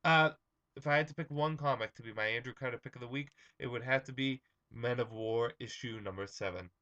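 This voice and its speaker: Slightly hoarse young man, bright chatty vlog. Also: mid-range voice, brisk, dull audio. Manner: chatty, many ums and uhs, restarts. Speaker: comic review vlogger. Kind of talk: casual vlog talk.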